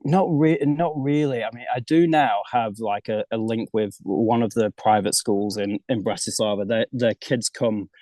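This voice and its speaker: A man's voice: on the low side, normal speed, hardly any pauses, mellow, dry recording. A man from the UK, a golf coach living abroad, chatting as an interview guest and often restarting his sentences. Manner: chatty, many restarts and repeated words. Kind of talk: casual interview chat.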